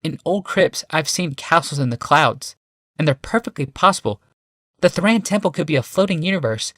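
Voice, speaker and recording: A male narrator performs a passionate woman visionary from a fantasy audiobook. The voice is raised to a feminine register and moves in lively swoops. It is dry in sound, with urgent, yearning intensity. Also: fairly high pitch, moderate pace, no room sound, pitched above the performer's own voice. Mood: excited, yearning.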